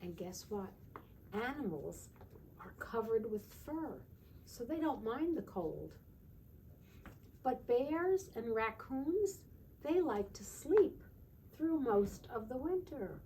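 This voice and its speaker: Woman, children's storytime teacher. Soft-edged mellow tone, sing-song read-aloud with little questions to the listeners, slight background noise. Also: fairly high pitch, sing-song, slow, pauses often.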